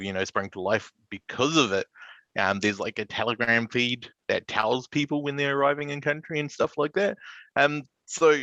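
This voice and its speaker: Bright, lowish New man, slightly dull recording. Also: no room sound. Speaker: podcast panellist. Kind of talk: casual podcast discussion.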